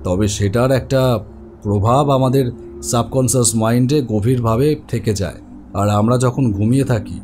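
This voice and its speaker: A man voicing a learned psychiatrist who explains things to a patient in a deliberate, measured way. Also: medium pitch, warm tone, close to an everyday voice. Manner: deliberate, explanatory, hesitant hums.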